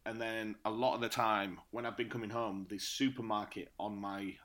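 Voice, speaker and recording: A man, co-hosting a casual podcast chat, his voice a bit croaky from dry air, rambling with many fillers. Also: fairly low pitch, fairly even intonation, moderate pace, a bit bright. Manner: rambling, lots of fillers and restarts. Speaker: podcast co-host. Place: apartment abroad.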